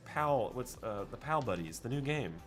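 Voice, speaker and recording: A young man with a deep voice, co-hosting a stream in casual, joking chat and talking to the comments. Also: expressive, moderate pace. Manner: easygoing, joking, talks to chat. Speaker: stream co-host. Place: game store during a stream. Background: background music.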